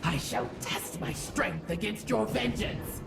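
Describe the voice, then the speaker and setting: A bloodthirsty alien war goddess from a video game, rasping with heavy gravel in the throat. She proclaims slowly and grandly, her pitch sweeping widely. The voice echoes from a distance over music. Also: expressive, full character voice. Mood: vengeful, solemn. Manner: grandiose, menacing, slow ceremonial declamation. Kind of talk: theatrical video game villain monologue.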